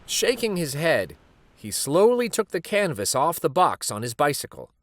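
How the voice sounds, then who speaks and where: A man reading a children's picture book aloud as a storyteller, with an unhurried, expressive delivery. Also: on the high side, dry recording.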